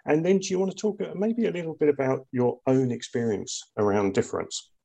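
A man's voice: mid-range voice, brisk, creaky, dark tone. A man hosting a podcast, asking his guest questions in a friendly, conversational way. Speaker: podcast host. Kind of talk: podcast interview.

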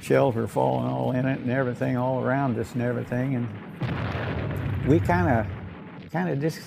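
A man's voice: medium pitch, fairly even intonation, normal speed, creaky, noisy background. An elderly man, a war veteran, telling his memories in plain, rambling, unscripted oral history. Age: 80s or older. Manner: rambling, plain-spoken storytelling.

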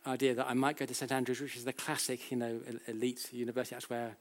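A British man speaks as an academic lecturer at a medium pitch. He explains in a conversational, anecdotal way, putting rhetorical questions to his listeners. His sentences often stop and start again as he repeats and corrects himself. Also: flat delivery. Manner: halting, self-correcting, with frequent repetitions.

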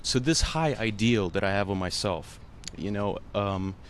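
A man's voice: lowish voice, unhurried, slight background noise. A man, an actor whose first language is Russian, answering a panel interviewer in an earnest, emotional way, full of "you know" fillers.

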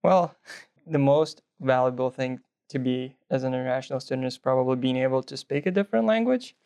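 Young man from Belarus, smooth voice. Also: mid-range voice, brisk, a little mellow. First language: Russian. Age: young adult. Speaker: guest, nursing student. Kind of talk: interview answers.

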